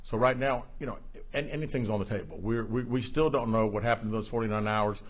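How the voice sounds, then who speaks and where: Low-voiced, halting police chief. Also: male voice. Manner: halting, measured, plain-spoken. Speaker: police chief at a press conference. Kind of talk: press briefing, answering reporters' questions.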